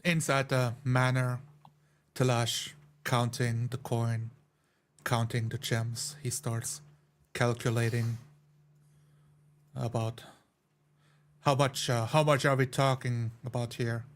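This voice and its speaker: Even-toned man playing a tabletop game. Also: medium pitch, takes it slowly, pauses often. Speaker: tabletop roleplay player. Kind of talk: describing his character's actions in play.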